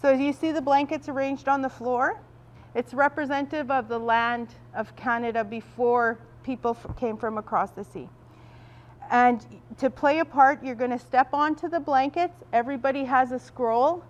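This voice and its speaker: A woman's voice: high-pitched, steady tone, moderate pace. Woman facilitator with a big projecting voice. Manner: loud projecting voice without a microphone. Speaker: workshop facilitator. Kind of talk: guiding a group exercise. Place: large event room.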